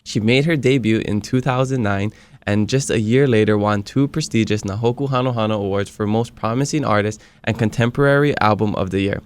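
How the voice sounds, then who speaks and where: A man's voice: lowish voice, brisk, clean tone. A man from Hilo, Hawaii, whose first language is Hawaiian, hosting a casual podcast interview and laughing often. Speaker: podcast host.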